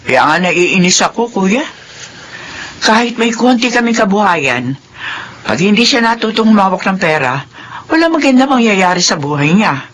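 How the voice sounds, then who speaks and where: A stern Filipino mother in a radio drama, worn down by her children and quick to flare. Her voice is forceful and swings widely, over faint background noise. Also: medium pitch, sing-song. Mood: weary, disappointed. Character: stern, long-suffering, hard-working mother, easily outraged at her children.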